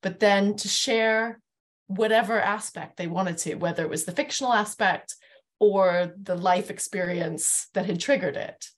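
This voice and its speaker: A woman's voice: mid-range voice, unhurried. A middle-aged woman moderating a panel discussion over a video call from a room at home. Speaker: panel host.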